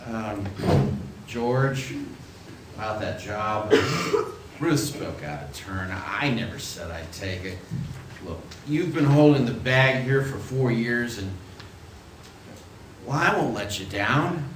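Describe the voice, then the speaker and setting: A man's voice: medium pitch, lively intonation, slow, pauses often. A man playing an eager, sheepish younger brother in a radio play, with hesitant delivery. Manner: hesitant, stammering. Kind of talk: stage radio play acting. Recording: echoey theatre, faint noise.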